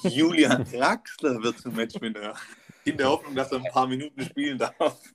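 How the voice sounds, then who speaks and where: A man's voice: medium pitch, moderate pace, noisy background. A young man co-hosting a casual football podcast, reeling off statistics with lots of fillers and an upbeat tone. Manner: stat-heavy, upbeat, filler-laden delivery. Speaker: podcast co-host.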